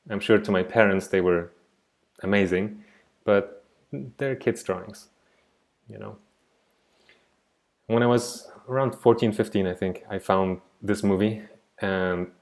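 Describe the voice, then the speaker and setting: Low-voiced reflective man teaching art online. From Switzerland. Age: 30s. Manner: reflective, digressive, soft-spoken. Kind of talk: online class presentation. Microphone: laptop mic on a video call.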